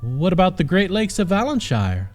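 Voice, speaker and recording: A man's voice: high-pitched, very animated, moderate pace, soft background music, pitched above the performer's own voice. A man voicing a young princess in an audiobook, haughty and easily annoyed, speaking in prim, formal phrases. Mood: suspicious, exasperated.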